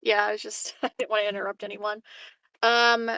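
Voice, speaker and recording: Casual woman gamer, medium pitch, dry sound. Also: little pitch movement, fairly quick, pushed and bright, no echo, low-quality recording. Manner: informal, frequent "like" filler. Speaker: tabletop roleplaying player. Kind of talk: casual game discussion.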